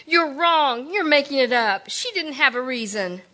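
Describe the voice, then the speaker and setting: An actress playing a proud, indignant sister in a live old-time radio drama, earnest and quick to protest. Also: female voice, sits high, expressive, sharp tone, full character voice. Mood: shocked. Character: proud, indignant sister Sally, easily shaken.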